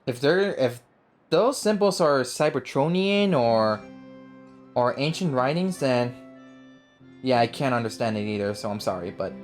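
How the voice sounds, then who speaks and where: Low-pitched woman with very animated delivery. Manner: thinks aloud, halting and repetitive. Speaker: gaming video host. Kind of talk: casual reactive gameplay commentary. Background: game music.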